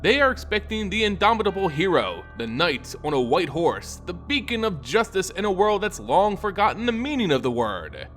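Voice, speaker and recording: A man narrating a scripted fantasy story in a dramatic, solemn and measured storytelling manner. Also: high-pitched, big pitch swings, a bit bright, soft background music. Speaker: lore narrator.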